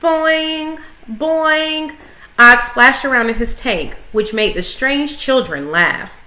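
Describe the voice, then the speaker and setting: A woman reading a children's storybook aloud with expressive, clearly phrased narration. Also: on the high side. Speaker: story reader, school coach. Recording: echoey room sound.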